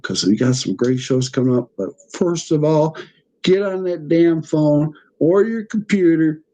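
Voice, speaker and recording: Folksy, mellow-voiced podcast host. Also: male voice, a little high, rough recording. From United States. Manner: enthusiastic, folksy, laughs often. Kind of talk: casual interview chat.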